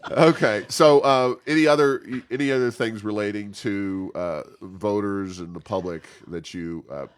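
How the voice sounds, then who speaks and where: A man's voice: fairly low pitch, normal speed, smooth. A man with an American accent hosts a casual interview, asking meandering, conversational questions with many hesitations and ums. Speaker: interview host.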